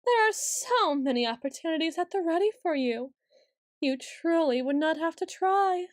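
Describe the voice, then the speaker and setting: Woman acting a high-voiced, haughty vampire lady. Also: expressive, moderate pace, dry recording, studio quality. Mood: brooding, haughty. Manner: imperious, dramatic, archly formal. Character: haughty, ancient tsundere female vampire, theatrical and petulant. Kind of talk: scripted ASMR roleplay monologue.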